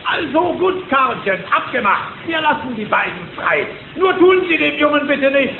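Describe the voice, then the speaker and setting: High-pitched, boastful old cartoon duck. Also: male voice, sing-song, moderate pace, exaggerated voice. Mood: determined. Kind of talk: cartoon audio drama acting. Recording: muffled, roomy, noisy old cassette.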